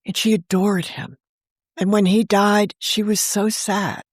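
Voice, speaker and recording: A woman telling a personal story as a podcast guest, warm and fondly reflective. Her voice sits in a middle range with a dry, close recording. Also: medium pitch, fairly even intonation, measured pace, dry recording. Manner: warm, fond, gently reflective. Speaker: guest, novelist and podcast creator. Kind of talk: conversational storytelling.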